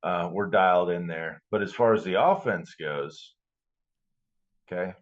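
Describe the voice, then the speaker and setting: Male football podcast co-host, deep, halting and blunt, on a slightly dull lo-fi mic. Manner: blunt, halting, repeats himself. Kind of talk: casual sports chat.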